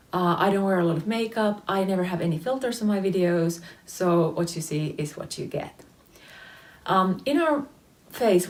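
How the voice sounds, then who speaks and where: A woman in her late thirties speaks English with a Finnish accent in a slightly low, even voice. She explains step by step like a patient teacher, stopping now and then on a soft "um" before going on. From Finland. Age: 30s. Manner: explanatory, methodical, with frequent "um" fillers. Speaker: face yoga teacher. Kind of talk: instructional video talk to camera.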